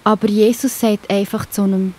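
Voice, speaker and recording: A woman's voice: medium pitch, fairly even intonation, moderate pace, mellow. A woman with a Swiss German accent narrating a Bible story for children. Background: faint music.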